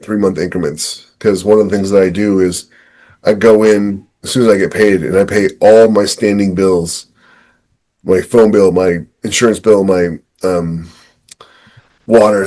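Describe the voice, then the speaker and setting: Lower-voiced man chatting on a podcast. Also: darkish tone. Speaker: podcast co-host and writer. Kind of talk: casual podcast chat.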